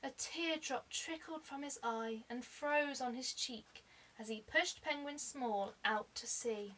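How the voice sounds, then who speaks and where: A woman reading a children's story aloud like a teacher, expressively, with deliberate dramatic emphasis. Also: high-pitched, bright, forceful tone, slight background noise, low-quality recording.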